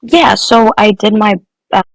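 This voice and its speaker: A woman's voice: mid-range voice, measured pace, dry recording, clean studio sound. A young woman from Nepal, a guest engineer, answering interview questions casually with many fillers and restarts.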